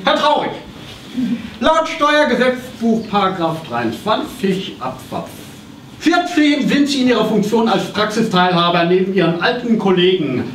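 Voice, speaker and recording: A pedantic tax snoop performed by a man in a live satirical radio play. The voice sits high and officious, halting as it cites regulations. It is heard from a distance on an echoey, noisy stage. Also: big pitch swings, fairly bright tone, exaggerated voice. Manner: officious, halting, quoting paragraphs. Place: small theatre stage with audience. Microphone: far camera.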